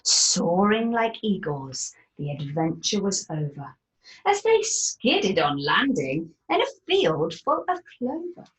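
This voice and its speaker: A woman reading a rhyming picture book aloud in an animated, theatrical way. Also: mid-range voice, slow, rough recording. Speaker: narrator.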